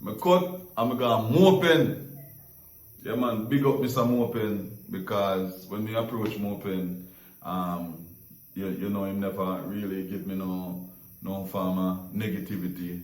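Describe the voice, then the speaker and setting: A man's voice: low-pitched, a little mellow, roomy sound, distant mic, rough recording. A Jamaican man hosting a casual story-sharing chat, rambling and repeating words as he searches for them. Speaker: host.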